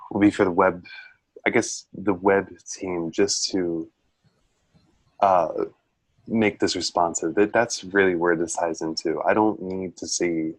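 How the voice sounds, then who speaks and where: A man with a low voice talks through feedback on a video call. He is casual and often rephrases mid-thought, and the sound is dry. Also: no room sound, poor audio. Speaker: manager reviewing a student team's check-in.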